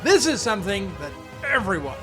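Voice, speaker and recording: A man's voice: high-pitched, big pitch swings, a little fast, music in the background. A man hosting a tabletop game-mastering show, explaining to camera with theatrical, exaggerated emphasis. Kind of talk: animated scripted explainer to camera.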